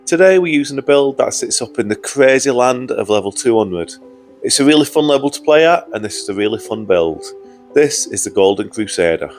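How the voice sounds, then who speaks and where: A young man with a cold-roughened voice, giving upbeat, chatty commentary over gameplay footage as a video host. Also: mid-range voice, animated, a little fast, darkish tone, soft background music. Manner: upbeat, chatty, says "guys" often. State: hoarse from a cold. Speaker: gaming YouTuber.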